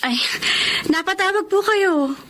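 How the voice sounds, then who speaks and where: A wounded young Filipino woman in a radio drama, with a very high, piercing pitch. She is resentful, emotional and quick to anger, over clear background noise. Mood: uneasy. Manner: resentful, emotional, sharp-tongued.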